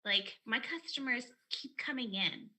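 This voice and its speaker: A pushy, eager shop clerk chatters in a high, grating voice, as a woman puts her on. She rambles and stumbles, bright and keen. It sounds like a video call. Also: a little slow. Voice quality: annoying high-pitched voice.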